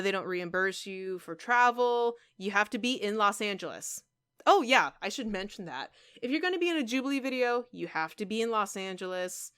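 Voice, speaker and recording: Clear-voiced woman, chatty vlogger. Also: mid-range voice, fairly bright tone. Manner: rambling, conversational, with emphatic asides. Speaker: YouTuber and game streamer. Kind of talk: casual vlog chat to viewers.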